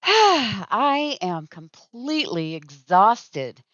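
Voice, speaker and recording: An actress playing a patient, gently reproving middle-aged mother in a radio sitcom, with an American accent and a soothing manner, on a dull old recording. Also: a little high, very animated, very slow, no echo, full character voice. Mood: weary, placid.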